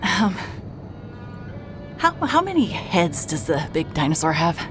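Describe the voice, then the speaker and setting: Music runs behind a worn-out woman private eye with a low voice. She is hard-hearted and short on sleep, and she talks in halting, weary phrases, as in a noir audio drama. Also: big pitch swings. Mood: halting, flustered. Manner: weary, halting, stammering under stress. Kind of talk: noir-like first-person audio drama narration.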